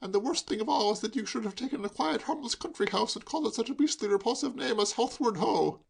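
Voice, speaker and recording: A man's voice: very high-pitched, animated, moderate pace, creaky, a bit bright, voice pushed up, exaggerated voice. A man voicing a drunk, jubilant young man who declaims Shakespeare with exuberant theatrical flourish. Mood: querulous. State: tipsy.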